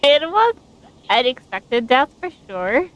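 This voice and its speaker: A woman's voice: high-pitched, big pitch swings, takes it slowly, muffled recording. A woman streaming a game with casual, hesitant, giggly live commentary.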